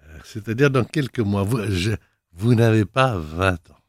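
A man's voice: fairly low pitch, moderate pace, dry recording, clean studio sound. A man hosting a radio interview, chatty and teasing with his guest. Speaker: radio host. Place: radio studio.

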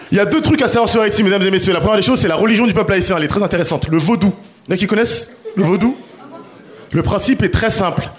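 A man in his thirties with a Paris-area French accent performing a self-deprecating stand-up routine straight to a live audience. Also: sits high. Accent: French, Paris region. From Paris suburbs, France. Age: 30s. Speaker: stand-up comedian. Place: live comedy stage. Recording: live stage show with audience laughter. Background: laughing audience.

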